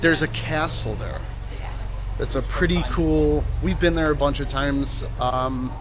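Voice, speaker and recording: A man's voice: fairly high pitch, unhurried, a crowd in the background. Laid-back man vlogging casually. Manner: laid-back, unscripted, plain-spoken. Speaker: travel vlogger. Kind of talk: casual vlog narration and chat. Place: outdoors in old town streets.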